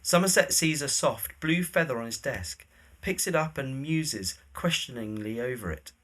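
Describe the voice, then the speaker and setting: A man's voice: medium pitch, lively intonation, normal speed, fairly bright tone. A man reading scripted stage directions as the narrator of an audio drama.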